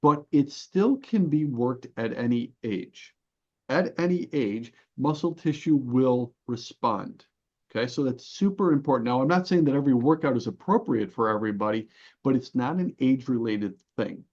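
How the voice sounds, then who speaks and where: Male fitness expert as interview guest: mid-pitched, didactic, emphatic, slightly muffled lo-fi sound. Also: animated, normal speed, a little mellow. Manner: didactic, emphatic, uses rhetorical questions and analogies. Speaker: podcast guest, health and fitness coach. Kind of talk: explanatory interview talk. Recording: dull, band-limited audio.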